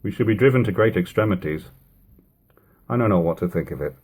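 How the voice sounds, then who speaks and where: A man voicing a cautious, doubtful seaman and sailmaker in an audiobook of an old novel, reasoning in a deliberate way. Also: low voice, animated, darkish tone, slightly rough. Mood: doubtful, worried.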